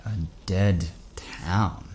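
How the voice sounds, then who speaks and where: Uneasy, questioning male driver, lowish voice. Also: very slow, darkish tone, slight background noise. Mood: puzzled. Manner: conversational, wondering, wary. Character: uneasy, questioning man driver, curious and troubled. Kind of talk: audio drama acting.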